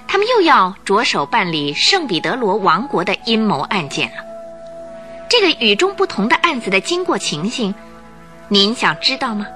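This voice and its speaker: A woman narrating a radio drama series with vivid, expressive storytelling. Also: medium pitch. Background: background music.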